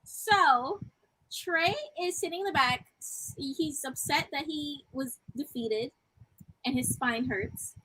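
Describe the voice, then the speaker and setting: A woman with a high, tiny voice that still comes across bright and forceful. She chats casually as a co-host, giving her commentary in everyday language. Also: slow pace, poor audio. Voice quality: small, tiny voice. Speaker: co-host of a wrestling review show.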